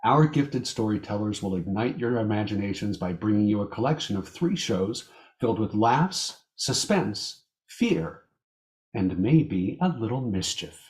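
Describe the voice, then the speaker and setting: A man announcing a vintage-style radio show, reading a scripted introduction in a measured, theatrical way. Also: lowish voice, expressive, a little mellow.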